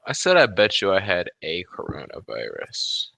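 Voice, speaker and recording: Bright, forceful low-pitched man, bantering. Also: wildly swooping pitch, moderate pace, gravelly, no room sound. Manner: blunt, sweary banter. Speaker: software developer, show guest. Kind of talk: casual chat.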